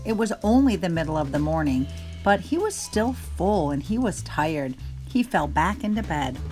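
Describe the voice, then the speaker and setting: A woman reading a children's picture book aloud in an expressive, gentle storytelling manner. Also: medium pitch.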